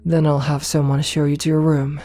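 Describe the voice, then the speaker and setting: A woman playing a possessive, seductive and domineering rich CEO in an ASMR roleplay, speaking in a soft, breathy near-whisper with slow, coaxing, condescending affection. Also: low voice, flat delivery, soft background music, close to an everyday voice. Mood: calm, satisfied. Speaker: voice actor in an audio roleplay. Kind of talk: ASMR roleplay, intimate slow address to listener.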